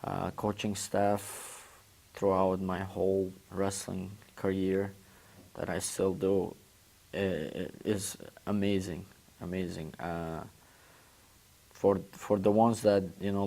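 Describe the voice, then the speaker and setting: A young man from Uzbekistan whose first language is Turkish, answering interview questions in a halting way and often repeating words as he searches for phrasing. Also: low voice, creaky, mellow. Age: 20s. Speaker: guest, former student athlete.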